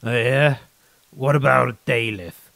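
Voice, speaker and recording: Man voicing captive zealot soldier, distrustful, accusing, medium pitch, audiobook story, dry sound. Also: slow pace, creaky, fairly bright tone, dry recording. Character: bitter, distrustful captured young soldier, loyal zealot. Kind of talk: short story character reading.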